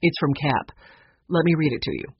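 A storybook narrator voicing a kindly, calm, businesslike older man who is a grandfather. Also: mid-range voice, normal speed, husky, muffled recording. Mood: gentle, regretful.

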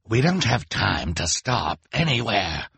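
A man voicing a wicked, impatient storybook villain with a raspy snarl and a sharp, domineering manner. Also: fairly low pitch, big pitch swings, unhurried, no room sound, pitched above the performer's own voice, heavily acted. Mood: sharp impatience.

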